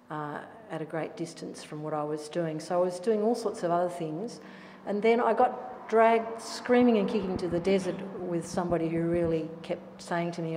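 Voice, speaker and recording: A woman's voice: lowish voice, dark tone. A middle-aged woman, a painter, speaking reflectively and self-deprecatingly on a gallery panel. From Australia. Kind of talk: panel discussion answer. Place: art gallery room. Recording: reverberant hall with faint noise.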